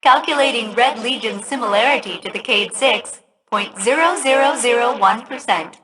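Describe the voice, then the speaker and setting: A woman's voice: a little high, normal speed, a crowd in the background, close to an everyday voice, low-quality recording. A woman playing a cheerfully smug, literal-minded ship computer AI in a video game, speaking with precision. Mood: chipper, precise.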